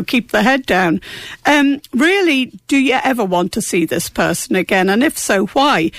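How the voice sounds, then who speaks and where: Woman, croaky, gravelly, frank advice-giver, dry radio studio. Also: on the high side, no echo. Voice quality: croaky voice after illness. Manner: blunt, forthright advice with wry humor. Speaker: agony aunt.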